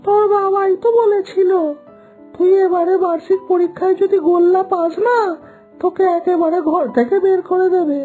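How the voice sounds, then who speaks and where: A woman acts an unworried, teasing young boy in a cartoon. The voice is shrill and cocky, recorded thin and narrow, with faint music underneath. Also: fairly even intonation, normal speed. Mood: teasing, dismissive. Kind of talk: children's cartoon acting.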